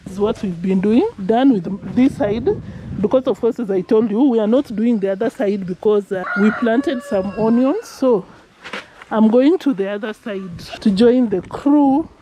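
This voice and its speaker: A woman's voice: a little high, normal speed, dark tone, slight background noise, poor audio. Laughing woman on a farm. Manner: chatty, explains while working, laughs often. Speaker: village vlogger. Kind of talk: casual walk-and-talk vlog. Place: outdoor farm field in the rain.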